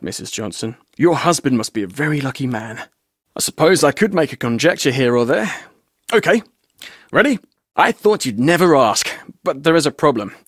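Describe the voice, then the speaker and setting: A wisecracking young tour guide. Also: male voice, on the high side, dry recording, studio quality. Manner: breezy, wisecracking, theatrical showman. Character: cheeky young male adventure tour guide, chatty joker. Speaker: game character. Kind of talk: video game voice acting.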